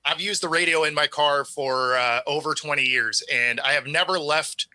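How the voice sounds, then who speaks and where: A man hosts a sports talk panel over a video call microphone, his voice strikingly bright and forward with a slightly high pitch. The sound is very dry and close, with no room around it. He jokes easily and laughs a lot as he chats. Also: normal speed, no echo. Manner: laughs often, easygoing and joking. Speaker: sports show host. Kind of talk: casual panel chat.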